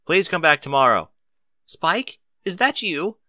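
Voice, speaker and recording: A man's voice: a little high, very animated, measured pace, pushed and bright, no echo, studio quality, pitched above the performer's own voice, full character voice. A man voicing a young male dragon who is eager to please and awkwardly bashful, in a storybook reading. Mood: flustered, calling out.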